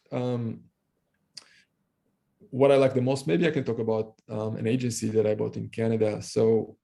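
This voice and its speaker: A man in his thirties from Israel, a guest talking casually on a video call, fast and loose with many fillers and restarts. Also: mid-range voice, vocal fry, warm tone. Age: 30s. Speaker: guest entrepreneur. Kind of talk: casual interview talk. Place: room at home.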